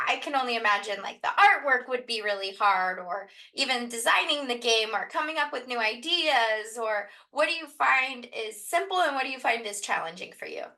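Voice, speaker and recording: A woman hosting a casual interview, eager and gushing, asking questions with quick laughs. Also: fairly high pitch, sharp tone. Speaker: interview host.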